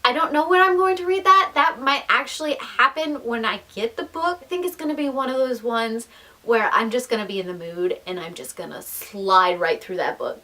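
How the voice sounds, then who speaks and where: A woman's voice: high-pitched, pushed and bright. A young adult woman chatting casually to viewers as a book vlogger, enthusiastic and emphatic, with self-deprecating asides.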